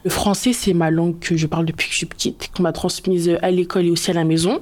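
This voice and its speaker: A woman's voice: on the low side, fairly even intonation, quick pace, darkish tone. A woman talking casually about herself, in an informal personal testimony.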